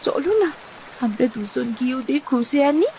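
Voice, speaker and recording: A woman's voice: high voice, animated, normal speed, mellow, a little hiss or hum. A woman voicing a worried, urgent young village wife in a cartoon story, pleading and emotional. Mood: anxious, pleading.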